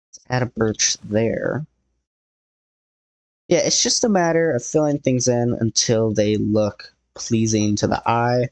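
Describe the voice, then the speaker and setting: A man walks viewers through a building tutorial in a relaxed, thinking-aloud way, his voice a little hoarse because he is losing it. He sits at a middle pitch, and the recording is dry and close. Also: no room sound, rough recording. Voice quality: slightly hoarse. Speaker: tutorial host. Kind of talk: casual game building tutorial.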